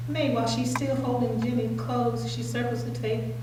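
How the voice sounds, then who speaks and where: A woman's voice: fairly high pitch, level tone, moderate pace. A woman reading scripted stage directions as narrator at a staged play reading. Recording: echoey room, distant mic, noisy.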